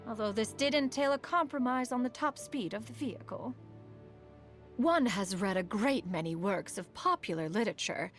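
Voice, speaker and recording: A woman voicing a proud, refined immortal sage and inventor in a video game, with formal, archaic, poised diction. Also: on the high side. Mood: amused, reminiscing. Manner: formal, stately, archaic diction, refers to herself as "one". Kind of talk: scripted game character voice lines.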